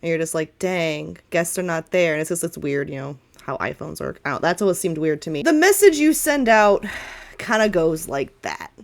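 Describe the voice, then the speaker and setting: A woman's voice: deep voice, animated, fairly quick. A woman game master narrating a tabletop roleplaying game in a casual, playful, improvised way. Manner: playful, chatty.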